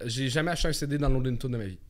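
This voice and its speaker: A Québécois man in his thirties chatting casually and telling stories in an animated way, repeating words for emphasis. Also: mid-range voice, rapid-fire. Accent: Québécois French. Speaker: guest, stand-up comedian. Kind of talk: casual chat with storytelling.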